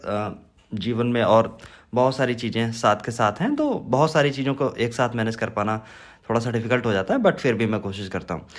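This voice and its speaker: Man lecturing with a heavy cold. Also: mid-range voice. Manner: frequent check words like "right?" and "okay?". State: sore throat and heavy cold. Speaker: exam-prep teacher. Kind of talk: informal lecture on current affairs.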